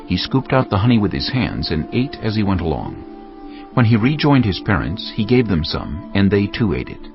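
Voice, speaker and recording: A man's voice: lowish voice, big pitch swings, moderate pace. A man narrating scripture in a dramatic, scripted style. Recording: dull lo-fi audio with music. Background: music.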